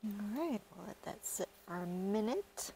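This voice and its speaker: A middle-aged woman chatting casually to herself while camping, a little stuffy, with soft murmured asides. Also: mid-range voice, big pitch swings, moderate pace, vocal fry, a little hiss or hum. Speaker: camping vlogger. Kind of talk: casual solo vlog chat. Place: outdoors in pine woods.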